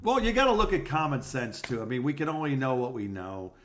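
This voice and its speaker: Male co-host, very lively intonation, loose conversational delivery, tends to repeat phrases for emphasis. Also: mid-range voice, brisk, a little hiss or hum. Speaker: podcast co-host. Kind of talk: casual conversational chat.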